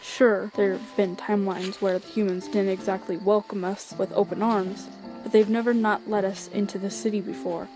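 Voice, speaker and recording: Casting: woman as easygoing skeleton monster, wry and pensive, medium pitch, comic dub. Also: flat delivery, normal speed, music in the background, lightly acted, low-quality recording. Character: laid-back wry skeleton monster, pensive and world-weary. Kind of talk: animated comic dub acting.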